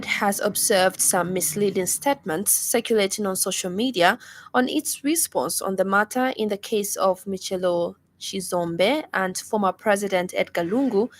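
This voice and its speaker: A woman news reader with a Zambian accent delivers a formal, scripted radio bulletin. Her voice sits at a middle pitch, and she reads in measured phrases.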